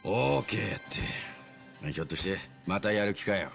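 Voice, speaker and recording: A man's voice: on the low side, expressive, quick pace, gravelly, fairly bright tone, soft background music. An actor playing a wry, reluctant male sidekick in a Japanese video game drama. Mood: wry, resigned.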